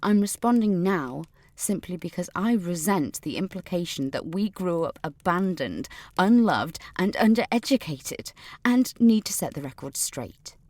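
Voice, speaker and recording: A woman's voice: fairly low pitch, expressive, a little slow, a little mellow, dry recording. An actress playing a haughty, defensive upper-class woman delivering a pompous, precisely worded monologue in an audio drama. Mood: indignant, haughty. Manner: posh, pompous, precise formal diction. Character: haughty, defensive upper-class adult alumna named Katrina. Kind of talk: dramatic monologue in a fiction podcast.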